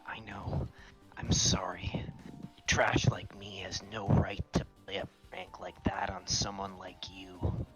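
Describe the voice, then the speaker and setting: A performer voicing a soft-spoken, self-deprecating young man obsessed with hope, with breathy, fawning, lingering delivery, in a fan fiction reading. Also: sits low, little pitch movement, slow pace, in a whisper, soft background music, pitched below the performer's own voice, low-quality recording. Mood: humble, grateful.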